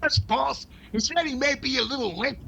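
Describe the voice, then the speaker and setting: A man playing a wry valet with a gravelly voice in an old-time radio sitcom. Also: moderate pace. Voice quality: gravelly, high. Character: wry, gravelly male valet to a comedy star. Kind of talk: classic radio sitcom acting. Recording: noisy recording with low rumble.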